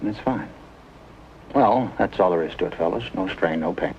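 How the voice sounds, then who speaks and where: Reassuring American physician, measured delivery, deep voice, old TV drama with slight hiss. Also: male voice, a little mellow. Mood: calm, instructive. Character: calm, plain-spoken male doctor, reassuring with young patients. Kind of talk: 1950s television drama acting. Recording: muffled, noisy old 1950s TV soundtrack.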